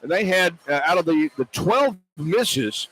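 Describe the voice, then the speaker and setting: A man's voice: fairly high pitch, expressive, measured pace, a bit bright, breathy edge, poor audio. A man doing live play-by-play radio commentary at a basketball game, recapping as an announcer on an arena broadcast.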